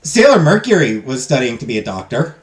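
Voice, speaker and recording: A man's voice: mid-range voice, very animated, fairly quick. A man casually commenting while playing a game, joking, swearing and talking to his viewers. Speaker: let's play host.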